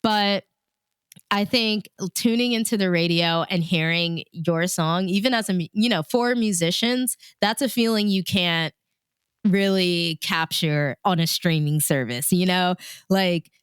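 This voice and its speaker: A woman with a fairly low, exceptionally smooth and clean voice talks like a radio DJ being interviewed. She is casual and enthusiastic, with loose conversational phrasing, in a dry, close recording. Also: measured pace, no room sound. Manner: informal, enthusiastic, peppered with like and you know. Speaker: radio DJ and guest.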